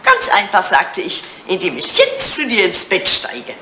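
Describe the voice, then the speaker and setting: A woman's voice: mid-range voice, unhurried. An actress playing an elegant, teasing older English lady in a retro radio comedy, telling things frankly and theatrically. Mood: teasing, animated. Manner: animated, theatrical, teasing storytelling. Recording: roomy, noisy vintage radio play recording.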